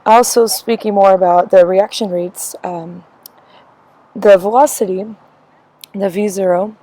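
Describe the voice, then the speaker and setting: A woman's voice: mid-range voice, mellow. A young woman who is losing her voice, giving a class presentation with frequent ums and a loose, rambling delivery. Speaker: student presenter.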